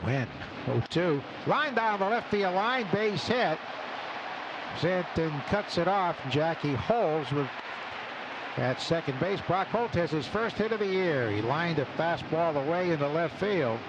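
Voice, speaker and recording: Higher-pitched man calling baseball on radio. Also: animated, measured pace. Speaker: play-by-play baseball announcer. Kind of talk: live radio baseball commentary with sponsor reads. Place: open broadcast booth at outdoor ballpark. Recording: noisy outdoor ballpark radio broadcast. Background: loud stadium ambience.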